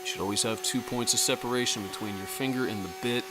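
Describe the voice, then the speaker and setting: A man hosting a casual woodworking tutorial in his workshop, relaxed and self-deprecating. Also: fairly low pitch, fast talker, heavy background noise. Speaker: woodworking host. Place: home woodshop.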